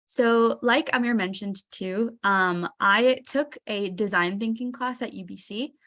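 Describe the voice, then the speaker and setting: A woman's voice: medium pitch, unhurried, a bit bright. A woman in her twenties giving a casual online talk as a guest speaker, chatty with frequent ums and restarts. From Seattle, Washington, US. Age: 20s. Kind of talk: casual presentation.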